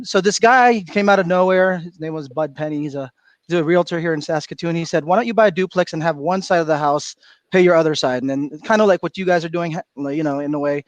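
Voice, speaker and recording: A middle-aged man from Florida, a guest on a livestream, telling stories in an animated way and laughing often. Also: a little high, no room sound. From Florida, USA. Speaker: guest speaker. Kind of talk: casual livestream chat. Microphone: video call.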